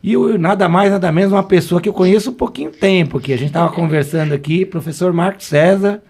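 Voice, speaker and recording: A man's voice: sits high, fast, a little mellow. A man hosting a podcast, talking in a chatty, loose way with fillers and restarts. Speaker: podcast host.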